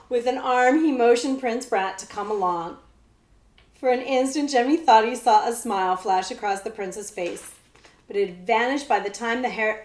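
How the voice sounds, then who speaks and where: Woman reading aloud in an echoey room. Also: mid-range voice. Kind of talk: children's storytelling with casual explanations.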